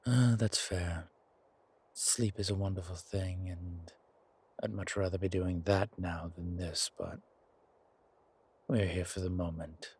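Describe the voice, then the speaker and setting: Man acting as suave male demon, very slow delivery, husky and breathy, wry, teasing, polished, intimate audio drama. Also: sits low, fairly even intonation, a little mellow, heavily acted. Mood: teasing, dry.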